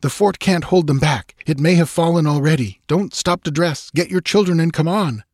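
A man reading a story and voicing a resolute young frontiersman giving hurried, firm warnings. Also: a little high, a little mellow, no room sound, clean studio sound, pitched above the performer's own voice, lightly acted. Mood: urgent.

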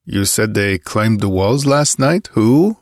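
A man voicing a terse, wary, fierce male barbarian warrior with a curt, gruff way of speaking, in an audiobook story. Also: lowish voice, lively intonation, takes it slowly, dry recording, clean studio sound. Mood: stern, wary. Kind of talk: audiobook character acting.

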